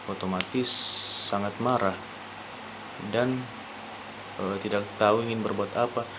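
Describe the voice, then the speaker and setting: Slow, halting young man, muffled recording. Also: lowish voice, steady tone. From Indonesia. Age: young adult. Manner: halting, with frequent pauses and rephrasing. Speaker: university student presenter. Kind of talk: informal spoken presentation for a class assignment. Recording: dull lo-fi sound with clear background noise.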